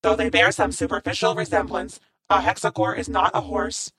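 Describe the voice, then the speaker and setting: A woman voicing a dry, wisecracking male robot mechanic with a precise, deadpan manner. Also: mid-range voice, a little fast, vocal fry, clean tone, dry recording, voice pushed down.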